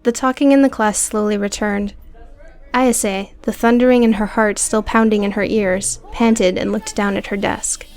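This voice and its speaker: A woman's voice: mid-range voice, steady tone, normal speed, darkish tone. A woman narrating an audiobook story in a scripted, dramatic storytelling style.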